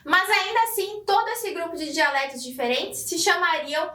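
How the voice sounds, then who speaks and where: A woman from São Paulo with a Paulista accent, teaching a language lesson clearly and directly to the viewer. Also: very high voice, moderate pace, bright, forceful tone, roomy sound, recorded from a distance. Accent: Paulista Brazilian Portuguese. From Brazil (São Paulo). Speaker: German teacher. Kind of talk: explanatory online lesson.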